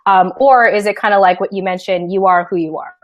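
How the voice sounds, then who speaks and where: A woman's voice: medium pitch, steady tone, fairly quick, no echo, dull audio. A woman from the Philippines interviewing a guest in a chatty way, using a lot of fillers. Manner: chatty, heavy filler use. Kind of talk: casual interview.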